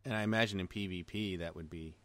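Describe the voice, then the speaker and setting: A man with a low voice talks at a brisk pace as a podcast co-host. He is agreeable and easygoing, and the recording is close and dry. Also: a bit bright, no room sound. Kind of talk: casual gaming podcast chat.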